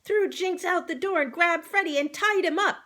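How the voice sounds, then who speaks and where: A woman voicing an excitable, anxious farm dog in a children's story, breathless and exclamatory. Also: very high-pitched, moderate pace, pitched above the performer's own voice. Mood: alarmed, gasping.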